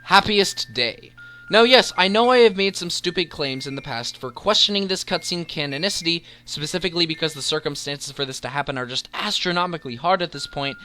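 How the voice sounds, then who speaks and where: Bright, high-pitched man narrating a countdown. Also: brisk, slight background noise. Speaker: video essay host. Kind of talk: enthusiastic scripted countdown narration. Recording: voice-over with background music. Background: music.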